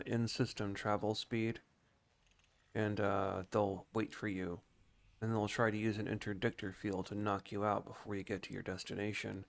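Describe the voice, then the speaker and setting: A man with a fairly low voice talks through a video game as a streamer would. His delivery is casual and explanatory, as he thinks aloud in an informal way. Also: vocal fry. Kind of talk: casual gameplay commentary and explanation.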